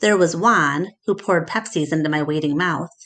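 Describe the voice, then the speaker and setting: A woman reading a children's story aloud as expressive narration. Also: low voice, moderate pace.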